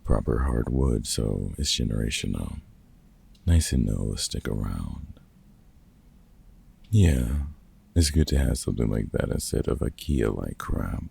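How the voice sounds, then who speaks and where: Gruff veteran monster hunter with a soft heart, played by a man, audio roleplay; very husky, unhurried, sweary mentor. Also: rumbling low, full character voice. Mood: relaxed, fond. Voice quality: gravelly, husky. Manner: gruff, sweary, casual mentor talk with pauses. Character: gruff, kind-hearted veteran male vampire hunter and woodworker. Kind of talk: comfort ASMR audio roleplay.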